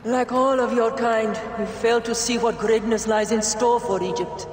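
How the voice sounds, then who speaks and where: A woman's voice: a little high, steady tone, a little mellow, reverberant, big-room sound, a little hiss or hum, lightly acted. An actress playing a haughty, unrepentant noblewoman villain in a video game, speaking with disdain. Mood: cold, defiant. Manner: slow, disdainful. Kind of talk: dramatic video game acting.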